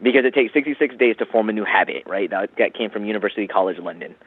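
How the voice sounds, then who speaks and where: A man makes a casual sales pitch in slangy, persuasive language. His voice sits a little low. It comes through a thin, dry telephone connection with very limited bandwidth. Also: fast talker, slightly rough, no echo. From San Francisco Bay Area, USA. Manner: slangy, persuasive, peppers talk with man, bro, dude. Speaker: phone sales representative for a self-help program. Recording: tinny narrowband phone line.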